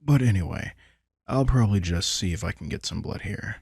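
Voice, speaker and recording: A man's voice: fairly low pitch, animated, brisk, vocal fry, darkish tone, breathy edge, dry recording, studio quality, full character voice. A man playing a centuries-old vampire in an intimate audio drama, speaking casually and dryly, sardonic and teasing yet protective, with some swearing. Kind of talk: intimate roleplay audio drama, conversational acting.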